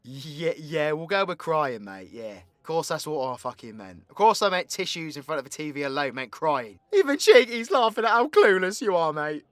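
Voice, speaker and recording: A man plays a cocky British supervillain in an audio drama. His voice sits high and bright, swinging widely as he mocks and teases in crude, sweary slang. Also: very animated, moderate pace, few pauses, no room sound, studio quality. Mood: sarcastic. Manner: brash, mocking, sweary slang. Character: crude, cocky, teasing British supervillain, foul-mouthed rogue. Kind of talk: audio roleplay voice acting.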